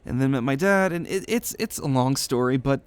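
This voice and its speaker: A man's voice: medium pitch, a little fast, clear, resonant tone, no echo, lightly acted. A man voicing an earnest, optimistic young demigod student with a hesitant, reassuring manner in an ASMR roleplay audio drama. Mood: hopeful, hesitant.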